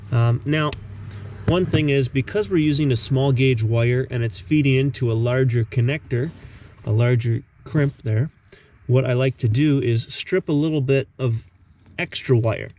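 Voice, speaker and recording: A man's voice: medium pitch, normal speed, dark tone. Man giving hands-on tutorial over background noise. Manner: methodical, explanatory. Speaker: host of a DIY car repair tutorial. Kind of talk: casual hands-on tutorial narration.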